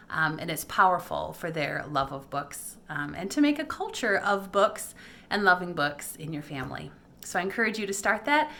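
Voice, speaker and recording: A woman with a bright, forward voice at an average pitch talks casually to fellow parents. She hosts a homeschool vlog and gives recommendations in an encouraging way. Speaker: homeschool video host and parent.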